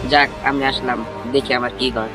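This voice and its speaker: A man's voice: mid-range voice, little pitch movement, normal speed, lightly acted, poor audio. A man playing a brash, cocky young fellow in an amateur comedy horror skit, with music behind him. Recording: loud music over the voice.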